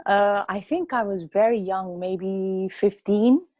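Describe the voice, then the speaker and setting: A woman's voice: medium pitch, slow pace, dark tone, dry recording. A woman co-hosting an art chat show, talking casually with many hesitant fillers. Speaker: co-host and artist.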